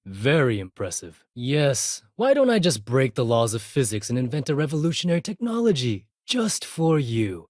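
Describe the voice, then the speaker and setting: A man's voice: mid-range voice, very animated, moderate pace, few pauses, a little mellow, no echo, clean studio sound. A man playing a proud, sardonic accountant for a space pirate fleet in a video game, speaking in a precise, formal, condescending way. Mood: businesslike, smug, then sarcastic, irritated. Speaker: video game character voice actor. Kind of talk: scripted game dialogue.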